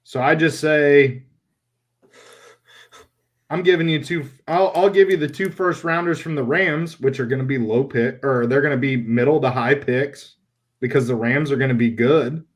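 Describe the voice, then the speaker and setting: A man hosting a casual sports talk show, animated and blunt, with a loose, joking delivery. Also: mid-range voice, moderate pace. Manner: animated, laughs, swears casually. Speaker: podcast host.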